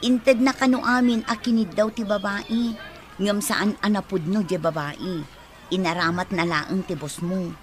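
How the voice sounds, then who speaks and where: A woman's voice: mid-range voice, steady tone, measured pace, lightly acted. A woman playing a chatty, teasing friend.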